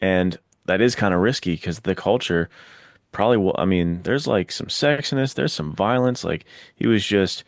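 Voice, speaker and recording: Deep-voiced, slangy man chatting casually. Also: moderate pace, no echo, studio quality. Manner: slangy, excitable. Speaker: podcast host or panelist. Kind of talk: casual enthusiastic book chat.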